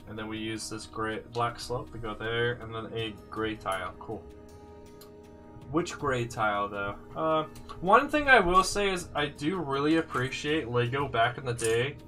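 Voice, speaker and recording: Bright-voiced, slightly congested male streamer. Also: medium pitch, normal speed. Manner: thinks aloud, answers viewers' comments. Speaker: live streamer building sets. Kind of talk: casual live-stream chat. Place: indoors, his room. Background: faint music playing.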